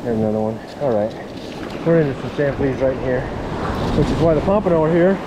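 A man talking casually to viewers in an excitable, folksy, slangy way, like a fishing vlogger, recorded outdoors on an action camera. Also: mid-range voice, a little fast. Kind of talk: casual fishing vlog commentary. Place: outdoors on an open sea beach. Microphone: body-worn action camera. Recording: windy beach, surf and wind noise. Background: wind and breaking waves.

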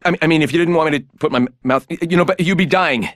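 An actor voicing a flustered, flirty gentleman hero in a video game, stammering and rambling awkwardly. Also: a little high, rapid-fire, no room sound, studio quality, lightly acted. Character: flustered, flirty, self-styled gentleman hero, adult man. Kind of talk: video game character voice lines.

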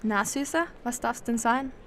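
A woman's voice: fairly high pitch, moderate pace, slight background noise, close to an everyday voice. An actress playing a flirty, no-nonsense female bartender in a parody detective audio drama.

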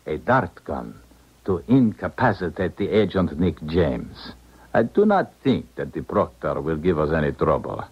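A deep, husky man, a formal and calculating schemer in a radio play. His phrasing is deliberate and swings expressively. The old recording is dull and muffled. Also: sing-song, heavily acted. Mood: calculating, guarded. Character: calculating foreign male defector, formal and smooth-tongued schemer. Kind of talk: 1970s radio drama acting.

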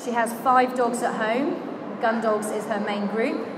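A woman working as ring announcer in a large indoor exhibition hall, calling out names slowly and clearly over a public-address system. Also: a little high, steady tone. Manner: slow, declamatory announcing of names. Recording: crowd and hall noise.

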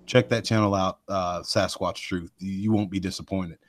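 A man with a deep voice that carries, hosting a live show and rambling folksily with many asides to his listeners. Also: steady tone, moderate pace. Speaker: host of a cryptid research live show. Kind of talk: casual live podcast chat.

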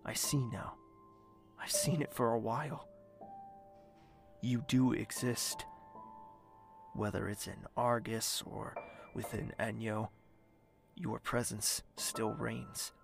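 Tormented fantasy nobleman, male actor, audio drama, unhurried gravelly delivery, clear musical backing. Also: on the low side, steady tone. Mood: remorseful. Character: brooding, devoted, tormented young nobleman. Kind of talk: slow dramatic narration and monologue, audio drama. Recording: music behind the voice.

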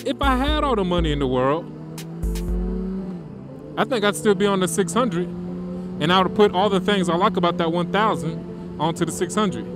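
A man talking casually to viewers while riding a motorcycle on country roads, relaxed and slangy, through a helmet mic. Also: high voice, moderate pace, pauses often, over music. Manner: laid-back, slangy, addresses viewers directly. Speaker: motovlogger.

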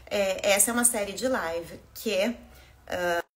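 A woman's voice: medium pitch, a little slow. A woman in her thirties from Brazil, hosting a casual live-stream interview from a small home office, mixing Italian words into her Portuguese. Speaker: host.

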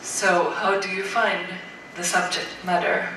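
A woman's voice: mid-range voice, little pitch movement, a little slow. Woman moderator, distant in an echoey hall. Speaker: panel moderator. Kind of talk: asking panel questions. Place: dim auditorium with seated audience. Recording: echoey noisy hall, far from mic.

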